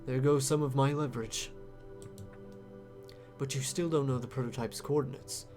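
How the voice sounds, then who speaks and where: A man's voice: mid-range voice, fairly even intonation, moderate pace, music in the background, natural voice. A man voicing a scheming, guarded insider in a visual novel game, casual and pressing as he bargains. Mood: defiant, guarded. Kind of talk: visual novel character read-aloud.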